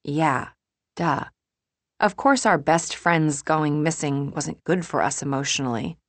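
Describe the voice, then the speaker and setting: A woman narrates first-person fiction in a low-pitched voice, recorded close and dry. She reads from a script as an audiobook narrator. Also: moderate pace, no room sound.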